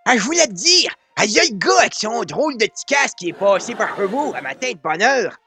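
An actor playing a folksy old grandpa in a French radio comedy, with a raspy voice and a colloquial Quebec French accent full of exclamations. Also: male voice, very high-pitched, big pitch swings, a little fast, sharp tone, no room sound, faint music behind, exaggerated voice. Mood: friendly, chatty. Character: folksy excitable old grandpa, war veteran.

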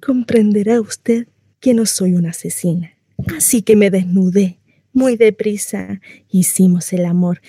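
A woman performs a housewife shut in at home, telling her story to a neighbour in a radio monologue. Her voice is very mellow and mid-pitched, recorded dry. She rambles conversationally, naive and confiding, tossing out rhetorical questions. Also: measured pace, slightly rough, dry recording. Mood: wistful, confiding.

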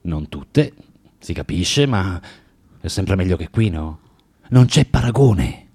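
Husky, bitter, preachy drinker. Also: male voice, sits low, big pitch swings, darkish tone, heavily acted. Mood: pleading, moralizing. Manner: halting, insistent, moralizing, with mocking questions. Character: bitter, preachy, self-loathing male drinker lecturing a young prostitute. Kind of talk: radio drama acting.

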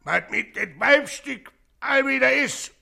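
A gruff, slow-witted father in a German radio drama. He grumbles in at an unhurried pace, with a gravelly, hard-edged voice. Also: extremely high pitch, expressive, pushed and bright, heavily acted. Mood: angry. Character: grumpy, slow-witted, gruff working-class husband and father. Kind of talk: German radio play acting.

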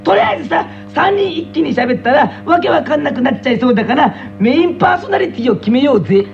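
A woman playing a brash, excitable young schoolboy, creaky-voiced, boisterous and cheeky, in an anime radio drama. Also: high-pitched, fast, lo-fi sound, faint music behind. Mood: excited.

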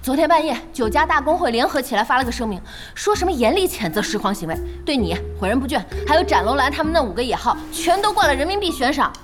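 Feisty high-pitched young boss. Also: female voice, very animated, quick pace, hardly any pauses. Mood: urgent. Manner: blunt, scolding. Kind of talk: Chinese audio drama acting. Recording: music behind the voice.

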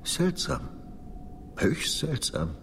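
An actor in a radio play voicing a grave, deliberate Father Superior of a convent with a solemn, measured manner. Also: medium pitch, wildly swooping pitch, creaky, noisy background, heavily acted. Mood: grave, admonishing.

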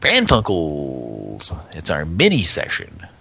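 Host: very low, gravelly man, congested, rambling. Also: huge pitch swings, pushed and bright. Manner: rambling, halting. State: stuffed up from a cold. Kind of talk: casual live show chat.

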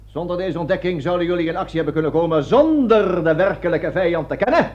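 High-pitched pompous army colonel. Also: male voice, animated, fast. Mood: pompous, emphatic. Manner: pompous, lecturing, commanding. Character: bossy, pompous American army colonel instructing troops. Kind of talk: satirical radio play acting. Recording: dull lo-fi old radio recording.

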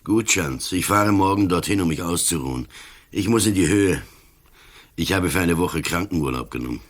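An older actor with a Swiss German accent playing a calm, stubborn, ailing police commissioner in a radio play, speaking cold and firm. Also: male voice, deep voice, moderate pace. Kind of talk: 1980s radio play acting.

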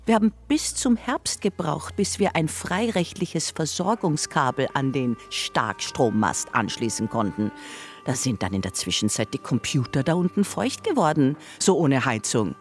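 A woman's voice: low voice, lively intonation, moderate pace, soft background music. An actress with an Austrian accent playing an earnest, politely solemn spokeswoman of a fringe commune in a radio drama. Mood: matter-of-fact regret.

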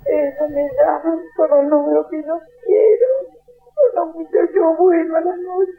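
A woman's voice: extremely high pitch, fairly even intonation, measured pace, faint music behind. An Argentine woman in an emotional private phone conversation, taped on a recorder in the 1980s. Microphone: tape recorder attached to telephone. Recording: dull lo-fi taped phone call from 1984.

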